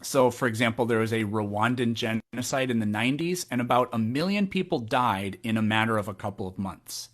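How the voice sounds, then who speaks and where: A man's voice: medium pitch, animated. A man in his thirties hosting a call-in podcast, exasperated and sarcastic as he argues with a caller. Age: 30s. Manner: exasperated, sarcastic, lists examples rapidly. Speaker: podcast host.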